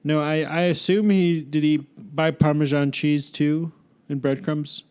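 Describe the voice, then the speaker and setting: A man co-hosts a relaxed podcast, cutting in with goofy jokes. His voice sits a bit high for a man and sounds smooth and clear. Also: measured pace. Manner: goofy, jokey interjections. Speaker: podcast co-host. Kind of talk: casual joking chat.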